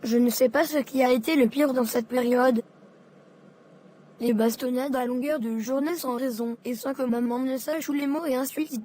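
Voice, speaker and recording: Woman acting a high-voiced, earnest young boy. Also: mellow. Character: hurt, dutiful schoolboy son named Karl. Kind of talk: dramatic dialogue and confession in an animated short. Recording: music behind.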